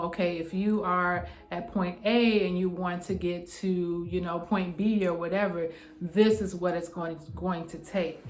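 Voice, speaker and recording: A woman in her forties with a New York accent talks through how she works as an acupuncturist. Her voice sits low and friendly, and she keeps checking in with listeners in a chatty, reassuring way. The room around her adds a noticeable echo. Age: 40s. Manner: chatty, reassuring, often tags "okay?". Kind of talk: casual explainer talking to camera.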